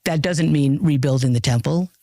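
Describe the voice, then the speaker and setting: A man talking earnestly and a little haltingly as an interview guest, often restarting his thoughts. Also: on the high side, creaky, mellow, no room sound, clean studio sound.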